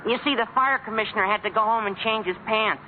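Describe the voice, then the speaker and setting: A young actor playing an eager, self-important teenage boy who acts as chief of police in a radio sitcom, earnest and excitable. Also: high-pitched, fast talker, close to an everyday voice. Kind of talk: 1950s radio sitcom acting. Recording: tinny, hissy old radio broadcast.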